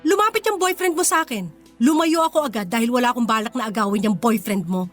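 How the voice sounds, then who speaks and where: Actress as wounded, dignified poor young woman, high voice, earnest, emotional, radio drama with faint music. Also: lively intonation, fast talker, close to an everyday voice. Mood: defiant. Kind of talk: Tagalog radio drama acting.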